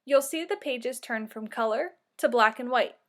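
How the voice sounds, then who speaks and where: A woman's voice: fairly high pitch, moderate pace, a bit bright. A woman narrating a children's read-aloud like a teacher, giving instructions and asking questions.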